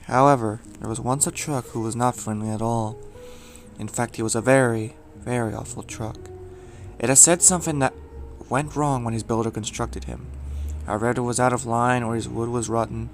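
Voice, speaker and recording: A man narrates a scripted horror tale in a medium-pitched voice. He delivers it as a storyteller, measured and with dramatic emphasis, while music plays clearly behind him. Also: steady tone.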